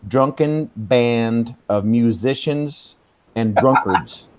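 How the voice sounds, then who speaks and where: A man's voice: medium pitch, slow. A man in his fifties hosting a casual live stream chat, rambling, swearing and losing his place. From Texas. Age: 50s. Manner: rambling, swears, loses track. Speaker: host.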